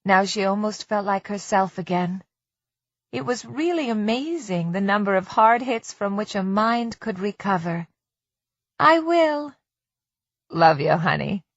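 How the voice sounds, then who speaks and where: A woman's voice: medium pitch, normal speed, dry recording. A woman reading scripted fiction as an audiobook narrator, recorded close with slightly muffled audio.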